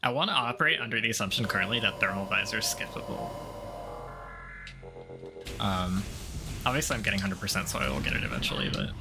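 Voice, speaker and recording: A man's voice: fairly low pitch, big pitch swings, quick pace, creaky, long pauses, over music. A man giving casual live commentary as he plays a video game, rambling and thinking aloud in a blunt, informal way. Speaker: streamer doing a game playthrough.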